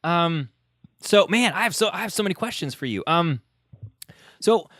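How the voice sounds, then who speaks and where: A man's voice: high voice, lively intonation, normal speed, fairly bright tone, no room sound, clean studio sound. A man hosting a conversational interview podcast, speaking informally with emphatic phrasing.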